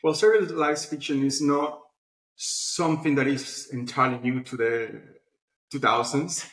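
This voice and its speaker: A man's voice: medium pitch, unhurried, a bit bright. Echoey male interview guest. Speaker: interview guest, publishing platform founder. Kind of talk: conversational interview answers. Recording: echoey room sound.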